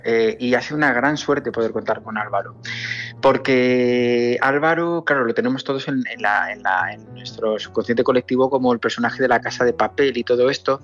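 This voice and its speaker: A man's voice: mid-range voice, fairly bright tone. A man from Andalusia with a Spanish accent, answering interview questions as a film director in an explanatory, emphatic way. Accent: Spain. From Andalusia, Spain. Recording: slightly dull sound, music behind. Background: music.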